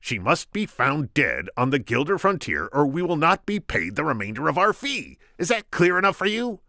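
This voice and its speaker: A man voicing a conceited, scheming male kidnapper from Sicily, in a shrill, mocking, smug voice, read aloud from a storybook. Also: sing-song, normal speed, bright, forceful tone, no echo, studio quality, heavily acted. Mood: scornful.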